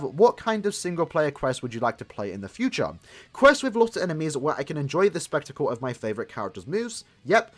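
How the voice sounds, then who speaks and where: A man's voice: on the high side, a bit bright. A man in his twenties giving casual, rambling gameplay commentary as a gaming YouTuber, chatting to his viewers. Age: 20s.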